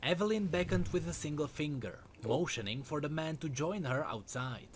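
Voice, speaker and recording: A man reads a dark tale in dramatic narration. His voice sits a little high for a man and moves widely in pitch, with faint ambient noise behind it. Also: animated, normal speed. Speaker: story narrator. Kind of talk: dramatic scripted storytelling narration.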